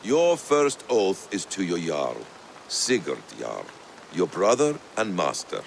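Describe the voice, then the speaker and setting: Gravelly, stern male adviser. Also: deep voice, huge pitch swings, takes it slowly, slight background noise, exaggerated voice. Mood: stern, reproachful. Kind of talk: video game cutscene acting.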